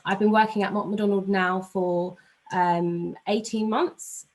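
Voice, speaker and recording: A woman's voice: medium pitch, fairly even intonation, unhurried. A woman with a London accent giving an informal presentation on a video call, chatty and slightly flustered. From London, England. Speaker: aviation analyst giving a talk.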